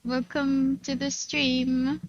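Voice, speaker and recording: A woman's voice: high voice, flat delivery, takes it slowly, dry recording. A woman streaming a game, chatting casually with viewers in a cutesy, playful way. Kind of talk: casual livestream chat and game commentary. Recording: dull, muffled sound. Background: faint game music.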